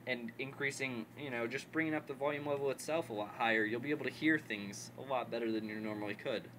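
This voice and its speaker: A man's voice: medium pitch, fast talker, a bit bright, poor audio. Man, mid-range voice, informal how-to delivery, instructional, repetitive for emphasis, faint noise behind him. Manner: instructional, repeats steps for emphasis. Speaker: presenter of a gaming headset setup tutorial. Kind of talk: casual unscripted tutorial talk.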